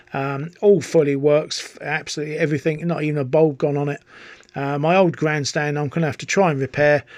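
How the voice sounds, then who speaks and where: A man's voice: a little high, dry recording. A middle-aged British man giving a casual, chatty, enthusiastic hands-on review as a hobbyist presenter. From United Kingdom. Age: middle-aged or older, over 40. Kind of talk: casual product review and demonstration.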